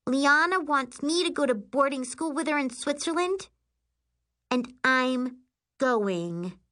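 A woman voicing an indignant, bossy twelve-year-old girl in a shrill, snapping voice for an audiobook. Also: animated, slow, a bit bright, heavily acted. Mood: defiant.

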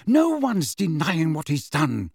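A man's voice: sits high, big pitch swings, moderate pace, dry recording, studio quality, voice pushed up, exaggerated voice. A man voicing a fierce, anxious middle-aged mother in a storybook, indignant and scolding.

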